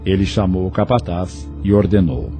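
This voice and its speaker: A man's voice: low-pitched, animated, moderate pace. A man narrating an audio novel in a scripted, dramatic storytelling style. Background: faint music.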